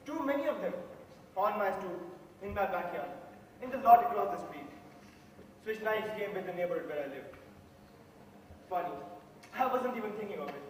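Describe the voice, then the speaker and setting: A young Indian man on an amateur stage, playing an earnest, quiet juror who grew up in a rough neighborhood. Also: high-pitched, lively intonation, moderate pace, long pauses. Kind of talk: amateur stage drama acting. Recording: echoey theatre hall recorded from far off, hiss.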